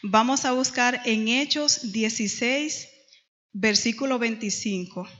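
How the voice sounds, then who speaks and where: A woman's voice: fairly high pitch, a little slow, fairly bright tone. A middle-aged woman from the Dominican Republic with a Dominican accent, teaching a Bible class in church and retelling stories with frequent hesitations. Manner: storytelling with many hesitations. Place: church hall. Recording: dull lo-fi church recording with long reverb.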